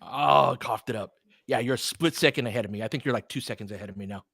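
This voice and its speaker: A man's voice: medium pitch, fast talker, creaky, a bit bright, breathy edge, no echo, clean studio sound. A man hosting a casual fan livestream, calling basketball in short clipped phrases mixed with chatty asides. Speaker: play-by-play host.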